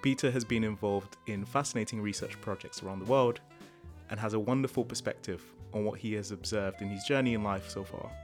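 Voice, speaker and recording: A man's voice: fairly low pitch, a little fast, soft background music. A man hosting a podcast, reading an earnest, carefully worded introduction and then chatting with his guest. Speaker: podcast host. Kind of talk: scripted introduction then casual chat.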